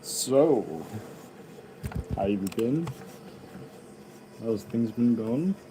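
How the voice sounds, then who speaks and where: A man's voice: mid-range voice, animated, drawn-out words, mellow, slight background noise, rough recording. A man, a rapper, chatting casually and ramblingly to his viewers, loose and offhand.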